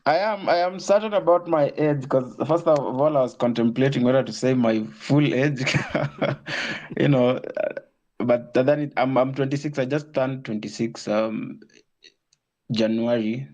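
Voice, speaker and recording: A man's voice: medium pitch, a little slow, a little mellow. Young Kenyan man on a muffled call. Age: 20s. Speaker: guest, political aspirant. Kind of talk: casual interview talk.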